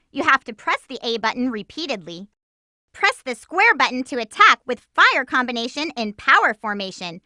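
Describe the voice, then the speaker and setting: A woman playing a cute, feisty, lovestruck young hedgehog girl in an animated video game, with a girlish voice and a perky, sassy manner. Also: high voice, dry recording, clean studio sound, exaggerated voice. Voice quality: girlish, bright.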